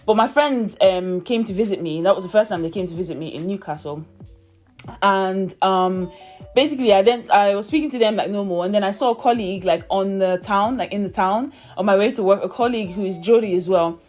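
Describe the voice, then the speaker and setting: A woman's voice: mid-range voice, a little fast, darkish tone, faint music behind. A young woman from Nigeria who speaks with a British accent, chatting casually and rambling on her vlog with lots of fillers and restarts. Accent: British, with a light Nigerian tinge. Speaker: YouTuber.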